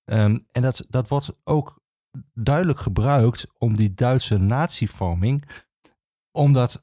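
Male expert guest: dark, soft-edged delivery, very dry close sound, halting explanatory phrasing. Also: mid-range voice, no echo. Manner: halting, hesitant phrasing with self-corrections. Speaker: archivist, podcast guest. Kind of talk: explanatory interview talk on history.

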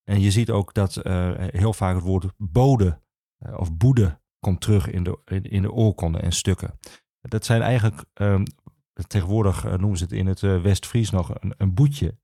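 A man with a Netherlandic Dutch accent explaining as an expert guest, conversationally and with halting, hesitant phrasing. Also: low voice, gravelly, mellow, no room sound, clean studio sound. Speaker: regional archivist, podcast guest.

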